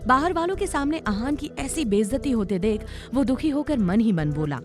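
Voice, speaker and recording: A woman narrates a drama serial with dramatic flair. Her voice is fairly high and very animated, over a soft music bed. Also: normal speed. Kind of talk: dramatic scripted story narration.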